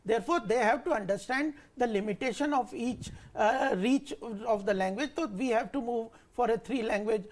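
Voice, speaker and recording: A man arguing in a TV debate, insistent and halting with many fillers. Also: very high-pitched. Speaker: ruling party spokesperson. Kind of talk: TV debate argument.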